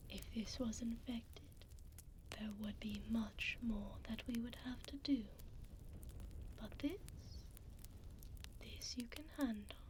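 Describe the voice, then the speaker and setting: Woman playing a slow, whispering, gentle vampire duchess. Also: fairly high pitch, noisy background. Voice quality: breathy whisper. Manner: soothing, slow, elegant, tender. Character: gentle, refined vampire duchess tending a wounded puppy. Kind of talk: ASMR roleplay. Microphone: close binaural microphone.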